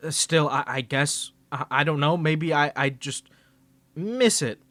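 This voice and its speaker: A man's voice: on the high side, slow, a bit bright, no echo. A man performing an ASMR roleplay to the listener, flustered and stammering, with defensive grumbling and bossy scolding outbursts.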